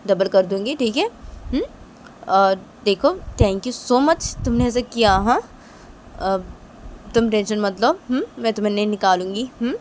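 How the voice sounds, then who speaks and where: A woman's voice: medium pitch, unhurried, a little hiss or hum, voice pushed down, lightly acted. A woman voicing a wealthy mother who is a firm boss, questioning sharply and reassuring her employee. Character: strict wealthy mother, female boss, firm but kind.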